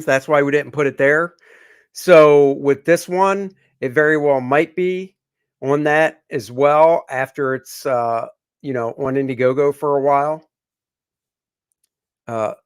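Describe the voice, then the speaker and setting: Host: a chatty, joking man with a rather high voice, rambling with fillers. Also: clean tone. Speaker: comic artist co-host. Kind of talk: casual livestream chat.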